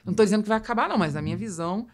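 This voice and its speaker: A woman's voice: mid-range voice, animated, racing. A woman with a Brazilian accent hosting a casual business conversation, informal and ready with her own opinions. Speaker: podcast host.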